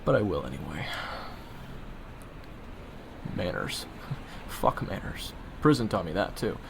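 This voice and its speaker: A young man in an audio roleplay, talking in low, sardonic murmured asides. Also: big pitch swings, normal speed, gravelly, background noise. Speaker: roleplay voice actor playing assassin roommate.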